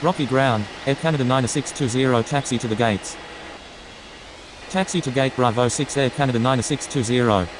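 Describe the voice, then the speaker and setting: A man voicing a brisk airline pilot in a flight game, giving clipped, procedural radio calls. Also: mid-range voice, background noise, lightly acted. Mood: businesslike. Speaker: airline pilot on air traffic control radio. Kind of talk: scripted radio phraseology.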